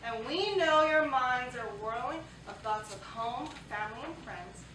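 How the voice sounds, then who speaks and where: A high, ringing young woman's voice from a stage actress, playing a cheerful leader of wartime pen-pal girls. She projects brightly in a staged reading, heard from a distance with faint noise in the room. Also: animated, a little slow. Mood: affectionate. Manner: upbeat, projected stage delivery. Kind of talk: staged reading of a historical play. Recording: echoey stage, distant mic, background noise.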